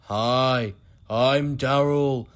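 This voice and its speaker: An actor playing an unimpressed, sarcastic husband in a comedic audio play, with a deadpan, mocking manner. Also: male voice, medium pitch, very slow. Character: unimpressed, sarcastic young husband, haunted householder.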